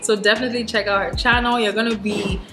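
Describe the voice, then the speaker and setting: A woman's voice: medium pitch, steady tone, fast. A woman from Ghana hosts her vlog in a loose, chatty way, laughing easily between questions. The room is echoey and music plays behind her. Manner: chatty and giggly. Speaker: vlogger host. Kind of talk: casual chat with a guest.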